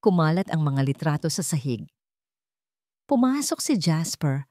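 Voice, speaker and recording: A woman's voice: sits low, very animated, a little slow, warm tone, dry recording, clean studio sound. A woman with a Filipino accent reading a scripted story as a dramatic narrator.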